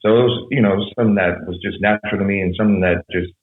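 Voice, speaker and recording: A young man, a podcast guest, telling his story in a relaxed, rambling way. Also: lowish voice, rapid-fire. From San Jose, California. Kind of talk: casual conversation. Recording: tinny phone-like echoey sound.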